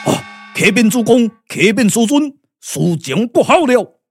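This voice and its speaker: A man playing a dutiful, excitable warrior disciple in a puppet radio drama, emphatic and theatrical. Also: very high-pitched, unhurried, faint music behind, heavily acted. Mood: alarmed. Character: dutiful, excitable male warrior disciple reporting to his master. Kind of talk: Taiwanese glove-puppet radio drama acting.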